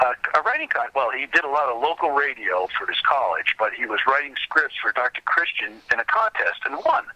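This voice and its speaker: A man's voice: mid-range voice, very animated, a little fast, pushed and bright, no echo, low-quality recording. A man in his fifties chatting casually as an interview guest, telling stories in a rambling, enthusiastic way. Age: 50s. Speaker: podcast guest, old time radio expert.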